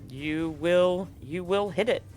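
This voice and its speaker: A man with a slightly high voice runs a tabletop roleplaying game as game master. He improvises narration and talks through rules, with music playing faintly behind him. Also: slow pace, a little mellow.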